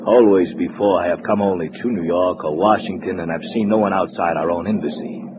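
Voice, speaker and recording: Man as a pompous low-voiced Eastern European diplomat. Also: steady tone, brisk, lightly acted. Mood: hesitant. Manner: formal, stiff, self-satisfied. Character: pompous, naive male Eastern Bloc diplomat courier. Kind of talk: 1950s radio drama acting. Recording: noisy, muffled vintage radio recording.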